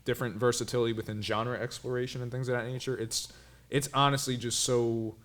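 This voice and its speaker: A man's voice: mid-range voice, flat delivery, fairly quick, fairly bright tone. A man co-hosting a reaction video, explaining at length in a rambling, enthusiastic way with many restarts. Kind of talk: casual reaction commentary.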